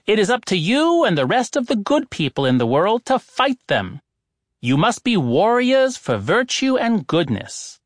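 A man's voice: high-pitched, wildly swooping pitch, moderate pace, no room sound, clean studio sound, voice pushed up, exaggerated voice. A man voicing a pompous, irritable old male oracle who lectures in a lofty, exasperated way. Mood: preachy.

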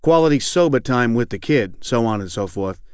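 A man's voice: mid-range voice, expressive, fairly quick, clear, resonant tone, no room sound, clean studio sound, lightly acted. A man reading aloud as an angry, profane middle-aged man in recovery, rambling and repeating himself. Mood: aggrieved, rambling. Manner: rambling, profane, keeps asking "you know what I'm saying". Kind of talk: reading aloud a fictional monologue.

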